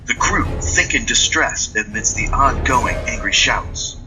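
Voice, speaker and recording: A man's voice: mid-range voice, unhurried, music in the background, low-quality recording. A man narrating a scripted audio drama as a storyteller.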